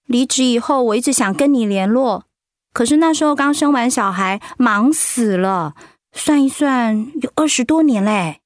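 Radio drama: female actor for a candid, warm middle-aged woman who has survived illness, higher-pitched, very dry studio sound. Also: animated, moderate pace, dry recording, close to an everyday voice. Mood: excited recognition. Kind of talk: radio drama acting, conversational.

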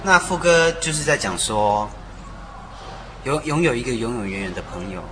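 A man's voice: medium pitch, animated, moderate pace, bright, forceful tone, slight background noise, rough recording. A man speaking as a songwriter guest in an interview, explaining casually and earnestly, repeating phrases for emphasis. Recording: music behind the voice. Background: music.

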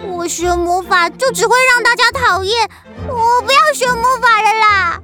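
A woman's voice: very high voice, normal speed, loud music behind the voice. A woman voicing a pouty little witch girl who doubts herself, with a childlike voice, in a children's radio play. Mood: whiny, dejected.

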